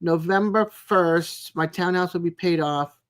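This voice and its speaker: A woman in her sixties chatting casually as a live stream host, in a rambling, chatty way with frequent pauses. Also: lowish voice. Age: 60s. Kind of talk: casual chat with live viewers.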